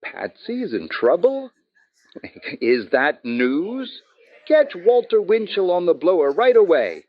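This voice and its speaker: An actor playing a boozy ex-doctor barfly in a radio detective drama, florid, rambling and wryly theatrical. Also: male voice, high-pitched, big pitch swings, takes it slowly, dry recording, highs cut off, full character voice. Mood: wry, teasing. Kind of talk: old-time radio comic-relief acting.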